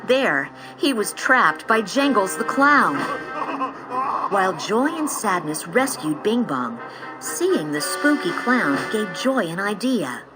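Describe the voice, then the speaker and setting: A woman reading scripted storybook narration in an expressive, measured storytelling style. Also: mid-range voice, low-quality recording. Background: music.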